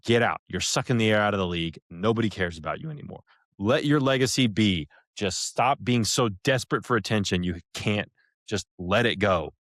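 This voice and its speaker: A man's voice: fairly low pitch, expressive, fairly quick, fairly bright tone, no room sound, studio quality. An American man co-hosting a podcast in casual chat, opinionated and emphatic in the way he talks. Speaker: podcast co-host.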